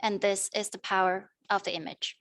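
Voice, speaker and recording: A woman's voice: medium pitch, little pitch movement, normal speed, a bit bright, no echo, studio quality. A young woman giving a prepared academic presentation as a student panelist, in a formal, wordy manner. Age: young adult.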